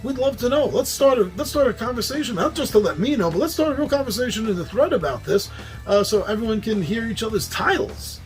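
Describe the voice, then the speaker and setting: A man with a New York accent hosting a casual monologue about board games, chatty and quick to laugh at his own slips. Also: extremely high pitch, lively intonation, faint music behind. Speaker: host.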